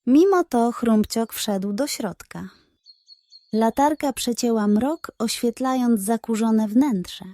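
A woman narrating a scripted bedtime story for children in an expressive, suspenseful way. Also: on the high side, slow, no echo, clean studio sound.

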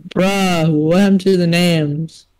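A man's voice: high voice, fairly even intonation, slow pace, darkish tone. A man teasing his friends over voice chat during an online game, laughing often. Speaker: gamer in voice chat.

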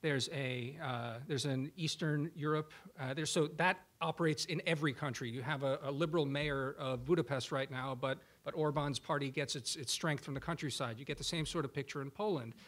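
A man from Croatia speaking as a panelist at a policy discussion in a conference room, thoughtful and halting, restarting his sentences. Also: on the high side, a bit bright. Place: large conference room with audience.

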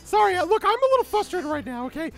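A man's voice: very high voice, big pitch swings, fairly quick, fairly bright tone, heavily acted. A man playing a flustered, exasperated teacher who stammers and interrupts himself, in an improvised comic cartoon style. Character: flustered, exasperated male teacher hunting for his costume. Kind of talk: improvised comic character acting.

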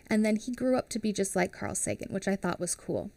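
A woman's voice: mid-range voice, vocal fry, no echo. A woman in her thirties, a teacher reading a picture book aloud to young children on a headset mic and stopping to explain things in simple words. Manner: animated and expressive, explaining slowly to young kids. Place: small home studio with a classroom wall behind her.

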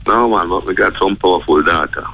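A man's voice: sits low, brisk, bright, forceful tone, breathy edge. A man answering a card reader with short agreeing replies and patois phrasing. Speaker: caller. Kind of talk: casual chat.

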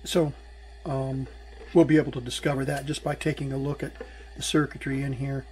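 Male repair host, medium pitch, unhurried thinking-aloud tutorial style, noisy workbench recording. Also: little pitch movement, darkish tone. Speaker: electronics repair hobbyist and host. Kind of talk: casual hands-on tutorial narration while working. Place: small indoor workbench.

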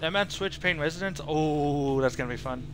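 A man's voice: a little high, normal speed. A young man giving casual, off-the-cuff gameplay commentary as a streamer. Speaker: game streamer. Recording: noisy stream with game sounds.

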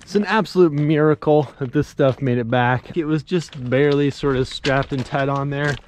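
A man's voice: on the high side, moderate pace, a little hiss or hum. Laid-back man filming his own barn build. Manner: casual self-deprecating talk to camera. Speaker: channel host. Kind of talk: casual DIY build vlog. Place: outdoors and in an open-sided barn on a gravel site. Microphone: action camera held at arm's length.

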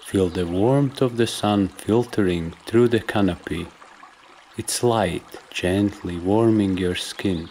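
A man leading a scripted guided meditation in a soft, measured, instructional way, leaving pauses between phrases. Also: low-pitched, a little hiss or hum. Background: trickling stream and nature ambience.

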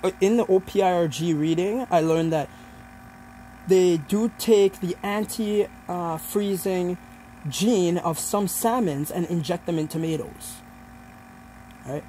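A man's voice: high voice, measured pace, mellow, a little hiss or hum, low-quality recording. A young man giving an informal, explanatory student presentation in a hesitant way, feeling out his points as he talks. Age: young adult.

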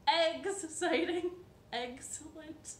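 A young woman presenting a children's storytime read-aloud in a playful, exaggerated, expressive way. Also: very high voice, takes it slowly. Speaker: children's librarian storytime host. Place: living room at home. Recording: roomy echo, some background hiss.

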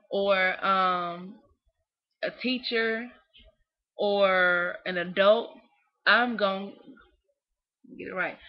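A young woman from Kentucky with a Southern accent, ranting on a webcam vlog in an emphatic, scolding way with lots of "you know what I'm saying". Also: mid-range voice. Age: young adult. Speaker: vlogger. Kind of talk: opinionated webcam rant. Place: small dim room indoors. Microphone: webcam close up. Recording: dull lo-fi webcam audio.

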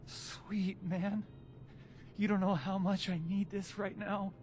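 An actor playing an edgy young junkie vault dweller in a video game, with slangy talk. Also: male voice, high voice, flat delivery, slow pace, a little mellow, soft background music. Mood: edgy, relieved. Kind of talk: video game character acting.

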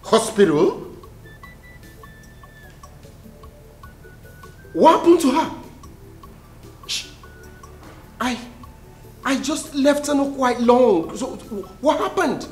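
A caring Nigerian brother. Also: male voice, lowish voice, very animated, a little slow, pauses often, a little mellow, faint music behind. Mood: alarmed. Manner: soothing, repeats himself. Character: caring older brother calming his sister. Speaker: brother on the phone. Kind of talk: Nollywood drama acting.

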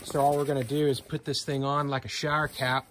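A man hosting a casual how-to video, explaining step by step in a plain, relaxed way while working outdoors beside a vehicle, filmed on a handheld phone. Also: mid-range voice, a little fast, background noise. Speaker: channel host.

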